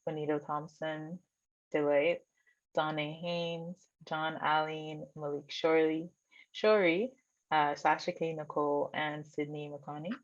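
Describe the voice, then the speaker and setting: A woman's voice: low voice, creaky. A woman curator hosting an online artist talk, introducing the artists in a friendly way with plenty of ums. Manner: friendly, filler-heavy, with frequent ums. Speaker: curator and host. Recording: dry, slightly dull video-call audio.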